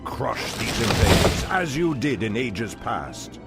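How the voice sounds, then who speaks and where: An actor playing an ancient, wise and mighty night elf archdruid in a fantasy game, grave, protective and portentous. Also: male voice, medium pitch, very animated, loud music behind the voice. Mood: wrathful, booming. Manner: slow-burning, solemn, portentous. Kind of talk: dramatic fantasy game voice acting.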